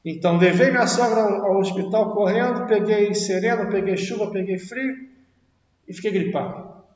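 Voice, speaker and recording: A man with a Rio de Janeiro accent teaches a logic class. He talks in a lively way, asks the class questions and answers them himself. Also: high-pitched, moderate pace. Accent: Rio de Janeiro Portuguese. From Brazil. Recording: echoey classroom, distant dull mic.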